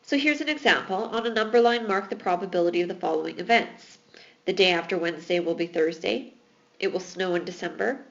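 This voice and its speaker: A woman's voice: fairly low pitch, fairly quick, creaky, highs cut off. A woman teaching math in a recorded lesson, explaining patiently while losing her voice. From Alberta, Canada.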